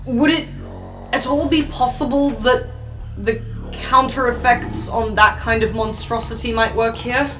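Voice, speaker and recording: A woman playing a polite, thoughtful student mage, speaking in a halting, tentative way. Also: fairly high pitch, steady tone, background noise, close to an everyday voice. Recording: roomy sound with music behind.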